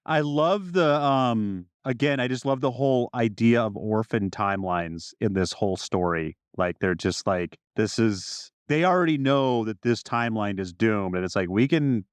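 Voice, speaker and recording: A man's voice: lowish voice, moderate pace, few pauses, smooth, dry recording. A man co-hosting a podcast, talking through ideas in a casual, informal way. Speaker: podcast co-host.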